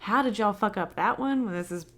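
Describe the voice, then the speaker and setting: A woman's voice: mid-range voice, moderate pace. A woman co-hosting a casual podcast from home, giggly and blunt, with frequent fillers. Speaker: podcast co-host. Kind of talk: casual chat. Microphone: home recording setup.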